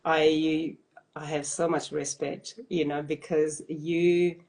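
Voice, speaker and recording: A woman hosting a live-stream interview, speaking softly in an effusive, affirming way. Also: deep voice, level tone, slow. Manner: effusive, affirming, soft-spoken. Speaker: host, interviewer.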